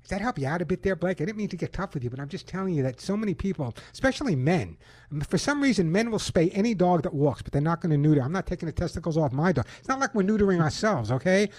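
A man's voice: sits high, expressive, quick pace, darkish tone, no room sound. A man hosting a call-in pet radio show in a studio, giving emphatic, self-assured advice and reading ads. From Long Island, New York. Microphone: studio microphone.

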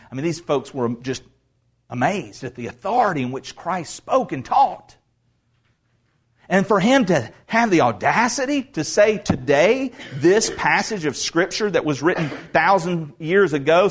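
A man preaching a sermon in a church, talking casually with asides and restarts, then reading scripture aloud and building to loud proclamation. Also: high voice. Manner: reads scripture aloud slowly. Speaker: pastor. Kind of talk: expository sermon.

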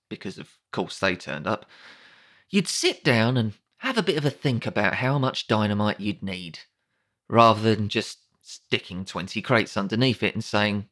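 Wry British man with very lively intonation. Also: lowish voice. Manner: wry, sardonic, conversational asides. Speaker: audiobook narrator. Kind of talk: comedic scripted narration.

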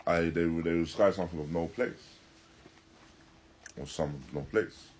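A man teaching in a religious lecture, deliberate and emphatic, repeating and rephrasing his phrases as he explains a text. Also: sits low, noisy background. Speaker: religious teacher giving a lesson. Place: Islamic centre, live stream.

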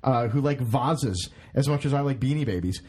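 Husky rambling podcast host, a man. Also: mid-range voice, lively intonation, normal speed, a little mellow. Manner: rambling, swears, laughs at himself. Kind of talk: casual chat.

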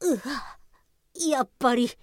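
A woman playing an exasperated, sharp-tongued office police officer in a comedy radio drama, with blunt scolding retorts. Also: high voice, measured pace.

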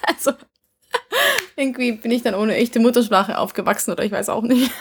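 A woman's voice: a little high, a little fast, a little hiss or hum. A young woman raised in Vienna with Hungarian as her first language, speaking German with a Hungarian-Viennese accent. She is a band singer telling stories in a casual interview, with many fillers and easy laughs. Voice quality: chatty storyteller, many fillers, laughs easily.

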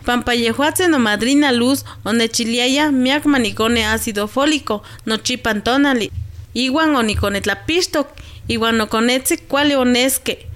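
A woman's voice: on the high side, fairly bright tone. A woman playing a chatty young village mother in a radio drama, conversational and exclamatory. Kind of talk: radio drama dialogue in Nahuatl.

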